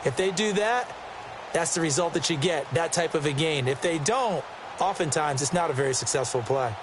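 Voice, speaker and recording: An actor working as an American color commentator in a football video game, explaining tactics in an emphatic, conversational way. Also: male voice, a little high, moderate pace, lightly acted. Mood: emphatic, analytical. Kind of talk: analytical sports color commentary. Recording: game audio with loud crowd noise. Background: loud stadium crowd and game sounds.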